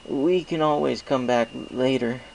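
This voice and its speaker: A man's voice: mid-range voice, measured pace, creaky, a little mellow, slight background noise, pitched above the performer's own voice, low-quality recording. A man voicing a shy, self-doubting winged stallion in a storybook reading, hesitant and meek. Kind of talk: acted audiobook character dialogue.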